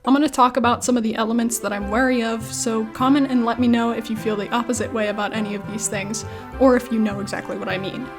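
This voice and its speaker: High-voiced woman giving video commentary. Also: steady tone, fast, over music. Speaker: video essayist, YouTube host.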